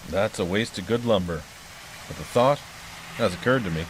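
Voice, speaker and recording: An actor playing a wary, stoic caretaker of a haunted house in an audio horror drama, speaking in terse, guarded, unhurried phrases. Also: male voice, lowish voice, a little hiss or hum, close to an everyday voice, poor audio.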